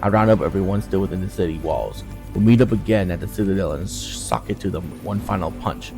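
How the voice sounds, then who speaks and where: Blunt, talkative game soldier, read by a man, low and gravelly, music underneath. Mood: resolute. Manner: colloquial, blunt. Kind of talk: game dialogue read aloud.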